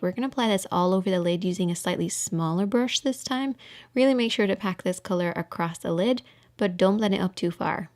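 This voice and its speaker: A woman's voice: medium pitch, darkish tone. A woman giving an informal voice-over for a makeup tutorial, explaining things step by step.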